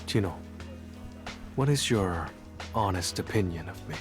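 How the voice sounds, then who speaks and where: An actor playing a calm, reserved young hunter hero who speaks tersely in a game. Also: male voice, sits low, unhurried. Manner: cool, terse. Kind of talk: game voice acting. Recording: game audio with music behind.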